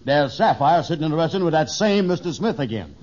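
An actor playing an easygoing, slow-witted pal in a 1950s radio sitcom, speaking an agreeable folksy dialect. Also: male voice, a little high, animated, a little mellow. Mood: amused. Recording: dull, noisy old radio recording.

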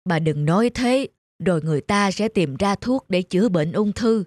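A woman voicing a gentle, loving old husband. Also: medium pitch, animated, moderate pace, dry recording, studio quality, natural voice. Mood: tender. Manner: tender, reassuring.

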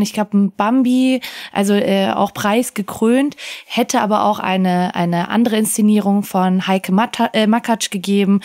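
A woman chats casually as a podcast co-host. Her voice sits at a middling pitch and is recorded very dry, with hardly any room sound. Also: warm tone, no echo.

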